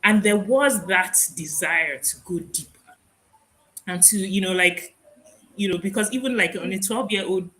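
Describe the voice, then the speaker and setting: A woman's voice: medium pitch, moderate pace, a bit bright, rough recording. A woman hosting a podcast interview, from Nigeria with Igbo as her first language, talking reflectively and laughing often. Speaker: podcast host. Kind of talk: conversational interview.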